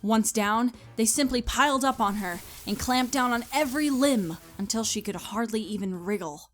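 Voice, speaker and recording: A woman giving a scripted, expressive and deliberate dramatic narration of a story. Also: a little high, faint music behind.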